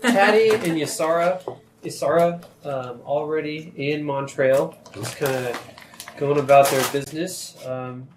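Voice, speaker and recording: A man narrating as game master, describing scenes in a relaxed way with many pauses and fillers. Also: a little high, a crowd in the background. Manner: relaxed, many ums and uhs. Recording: echoey room with faint noise.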